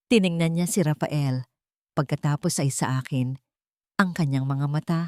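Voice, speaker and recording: A woman narrating a scripted drama in the first person, with deliberate, dramatic short phrases. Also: low-pitched, darkish tone, dry recording, studio quality. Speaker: story narrator.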